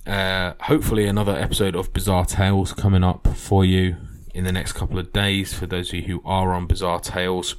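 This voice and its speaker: A man's voice: sits low, level tone, fairly quick, few pauses, slight background noise. A man hosting a history podcast, talking casually and earnestly with plenty of fillers and restarts. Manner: rambling, earnest, many fillers.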